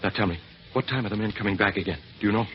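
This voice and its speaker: A gallant, formal gentleman played by a male actor in a vintage radio drama. His lowish voice is earnest and theatrical, on a dull recording with faint hiss. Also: rapid-fire, close to an everyday voice. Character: smooth, earnest gentleman posing as heroic rescuer. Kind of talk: old radio drama acting.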